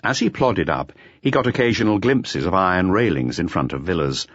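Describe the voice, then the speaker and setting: A man's voice: deep voice, animated, fairly quick, no echo, dull audio. A man reading a detective novel aloud as scripted audiobook narration.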